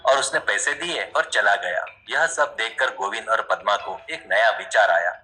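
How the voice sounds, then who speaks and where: A man's voice: on the low side, moderate pace, pushed and bright, faint music behind, low-quality recording. A man narrating a scripted cartoon story in an expository storybook manner.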